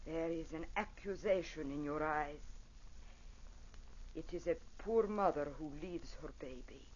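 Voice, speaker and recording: An actress playing a gracious, charming Italian madame in an old-time radio drama, with an Italian accent and formal, impassioned phrasing. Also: female voice, sits low, a little slow, a little mellow. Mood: pained, pleading. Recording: muffled old radio show recording.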